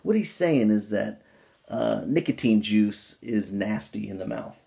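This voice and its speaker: A man's voice: on the low side, expressive, a little slow, vocal fry, warm tone. A man giving an amateur dramatic reading of an old book, stressing words emphatically and adding wry asides.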